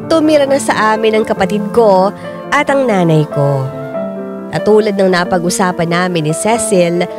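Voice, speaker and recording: A woman's voice: medium pitch, close to an everyday voice. An actress as an exasperated working mother narrates her troubles in a radio drama. Her confessional storytelling sits in a medium register, with prominent music playing underneath. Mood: recounting, concerned.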